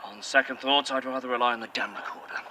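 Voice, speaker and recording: A man's voice: mid-range voice, steady tone, fast, pushed and bright, slight background noise. An actor playing a curt, sarcastic man who swears freely. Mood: irritable. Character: bitter, sweary, sardonic man, weary. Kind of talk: audio drama acting.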